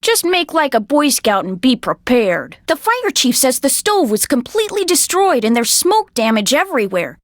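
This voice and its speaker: High, animated slangy 1930s gal. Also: female voice, moderate pace, hardly any pauses, no room sound. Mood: worried, confiding. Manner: folksy, slangy, talkative. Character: brassy, slangy, good-hearted 1930s American woman. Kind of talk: scripted video game dialogue acting.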